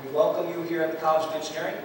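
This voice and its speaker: College guy giving a welcome, earnest and steady, kinda high voice, echo everywhere, far from the mic. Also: rapid-fire. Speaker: college host welcoming guests. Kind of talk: formal welcome remarks. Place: event room. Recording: echoey hall mic from far off, background noise.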